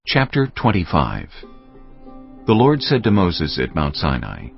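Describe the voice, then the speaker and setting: A man's voice: low voice, huge pitch swings, unhurried, creaky. A man narrating scripture from a script as solemn dramatized storytelling. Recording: dull lo-fi audio, music underneath.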